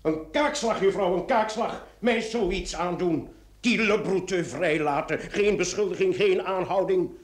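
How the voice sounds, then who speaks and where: An actor playing a hot-tempered police commissioner in a Dutch radio play, with a sarcastic, blustering manner. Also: male voice, high-pitched, unhurried. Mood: indignant.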